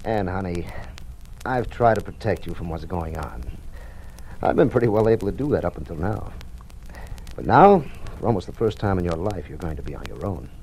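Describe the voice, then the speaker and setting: An anxious American father in an old radio play. The older voice is very low and moves expressively up and down. A light noise lies over the vintage recording. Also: expressive, fairly quick, a little mellow, rough recording. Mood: worried, grave. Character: anxious, protective father of a grown daughter. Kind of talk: old-time radio drama acting.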